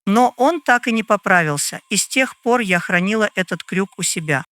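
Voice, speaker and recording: A woman's voice: mid-range voice, expressive, normal speed, fairly bright tone, dry recording, lightly acted. A woman playing a composed landlady in an old London detective audio drama, speaking formally and a little hesitantly. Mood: reminiscing.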